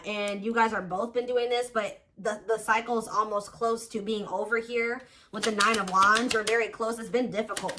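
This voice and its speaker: A woman's voice: mid-range voice, bright, forceful tone. A woman giving a casual tarot reading, thinking aloud with lots of fillers and restarts. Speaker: tarot reader.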